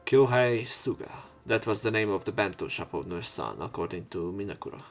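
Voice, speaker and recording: A man's voice: lowish voice, lively intonation, fairly quick. A man narrating and reading dialogue aloud for a visual novel let's play, sometimes stumbling over words. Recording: game music behind voice. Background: visual novel game music.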